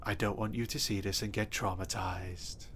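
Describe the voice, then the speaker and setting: A man playing an obsessive, possessive stalker in an audio roleplay, speaking softly and intimately with a threatening edge. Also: fairly low pitch, fairly even intonation, normal speed, a little hiss or hum, natural voice. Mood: cold menace. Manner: soft, intimate and menacing, bursting into threats. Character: obsessive, possessive male stalker kidnapper, adult.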